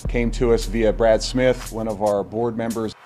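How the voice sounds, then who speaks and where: Male interviewee, club spokesman. Low, dark tone, formal but hesitant, faint music underneath. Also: level tone, moderate pace. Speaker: club representative. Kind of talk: interview explanation.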